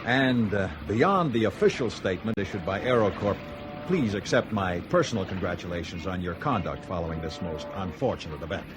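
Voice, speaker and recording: An actor playing a smooth, insistent male company executive in a radio drama, courteous and deliberate in his phrasing. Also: low-pitched, expressive, music in the background.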